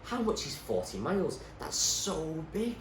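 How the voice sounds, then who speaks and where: A man reads the part of a young storybook girl, wary but determined. The lines are plain and questioning, with lively intonation and some room echo. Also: on the high side, a little slow, pitched above the performer's own voice, full character voice. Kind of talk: character dialogue in a storybook reading. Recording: roomy echo, faint background noise.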